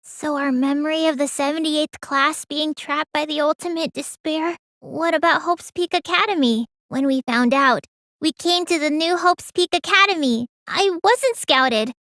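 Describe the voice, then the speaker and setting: A woman voicing a small, gloomy, stubborn teenage girl mage in an English video game dub, drawling when calm and stammering when upset. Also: high-pitched, normal speed, no room sound, studio quality. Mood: subdued, serious.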